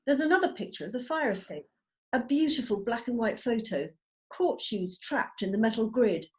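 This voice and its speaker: A woman's voice: fairly high pitch, expressive, moderate pace. A woman reading stage directions as the narrator of a script, in a scripted narration style. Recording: video call.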